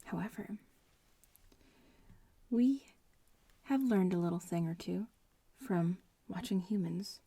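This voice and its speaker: A woman voicing a young witch-in-training in an ASMR roleplay, murmuring and whispering with a creaky, breathy voice and a nonchalant drawl. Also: fairly low pitch, slow pace, pauses often, warm tone, full character voice. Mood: nonchalant, explaining. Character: casual young witch-in-training, bratty schoolmate.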